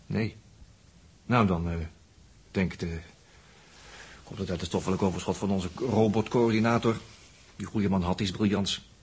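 Cheeky spaceman, lowish, extremely raspy, slangy, sardonic, old radio play. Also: steady tone, moderate pace, long pauses. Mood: joking, curious. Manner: colloquial, wry, grumbling. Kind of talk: 1960s science-fiction radio play acting.

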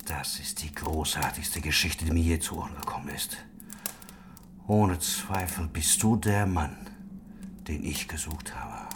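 An actor playing a worldly, persuasive confidant in a historical radio drama. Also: male voice, extremely low pitch, unhurried, background noise. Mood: admiring, encouraging. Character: worldly, persuasive male confidant urging on a tired man.

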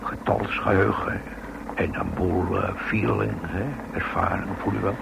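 An actor playing a mild, deferential safecracker who is proud of his art, hesitant and gravelly, in a radio drama. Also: sits low, level tone, unhurried, heavily acted. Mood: expert, explaining. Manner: hesitant, deferential, verbal tics, fussy pride. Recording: noisy old radio drama recording.

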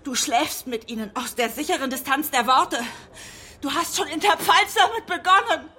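An actress playing a wounded, furious wife in a radio drama, emotional, scornful and accusing. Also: female voice, high voice, moderate pace, sharp tone, soft background music. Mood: bitter scorn. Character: furious, wounded wife raging at her unfaithful husband. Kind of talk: intense radio drama acting.

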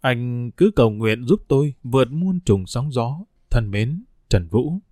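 A man's voice: medium pitch, animated, a little slow, darkish tone, no room sound, lightly acted. Man voicing a weary middle-aged prisoner, melancholy, reflective, dry sound.

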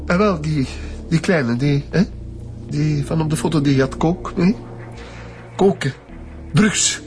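Stammering pious concierge. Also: male voice, on the high side, slow pace, a little mellow, soft background music. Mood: confiding. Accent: Flemish. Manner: stammering, moralizing about sin. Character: fearful pious male concierge, nervous and preachy. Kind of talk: radio drama acting.

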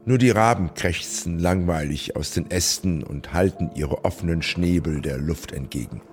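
An actor in a German radio play playing a commanding landlord who also narrates in a literary, dramatic style. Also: male voice, normal speed, soft background music, close to an everyday voice. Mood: grave narrating. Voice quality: low-pitched. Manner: dramatic, varied delivery.

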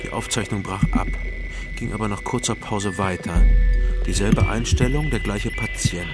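A man playing a sober private detective, narrating and questioning in a measured way in a radio crime drama. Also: on the low side, lightly acted. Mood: sober, reflective. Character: East Berlin private detective narrating his case. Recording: music behind the voice.

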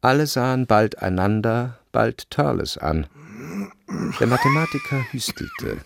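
An actor as the wry literary narrator of a radio play, delivering scripted narration. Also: male voice, medium pitch, wildly swooping pitch, a little slow, creaky, background noise, full character voice. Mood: wry, narrating.

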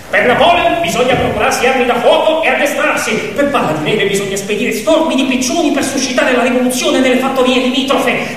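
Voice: medium pitch, a bit bright. A stage narrator telling an animal fable theatrically, expressively and with conversational asides to the audience. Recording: echoey hall, distant mic, faint noise.

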